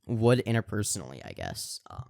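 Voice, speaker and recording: A man's voice: lowish voice, huge pitch swings, fairly quick, vocal fry, darkish tone, dry recording, studio quality. A man co-hosting a podcast in casual chat, rambling with lots of fillers and restarts and projecting his voice. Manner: projecting voice on camera. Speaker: podcast co-host.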